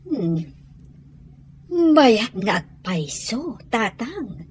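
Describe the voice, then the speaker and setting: Woman acting a slow, mild, respectful young person. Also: a little high, big pitch swings, slightly rough, heavily acted. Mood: thoughtful. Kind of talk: Ilocano audio drama acting.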